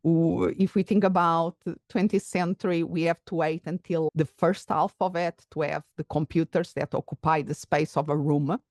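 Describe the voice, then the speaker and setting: A woman's voice: sits low, fairly even intonation, moderate pace, few pauses, a little mellow, dry recording. Portuguese-accented woman, dry close sound. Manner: explanatory, thoughtful, occasional restarts. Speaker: psychologist and executive coach, interview guest. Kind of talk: conversational interview answers.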